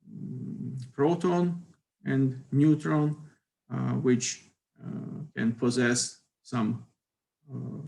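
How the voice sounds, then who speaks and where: A man's voice: mid-range voice, gravelly, darkish tone. A middle-aged man from Ukraine, giving a university physics lecture in a hesitant way, with many fillers and careful phrasing.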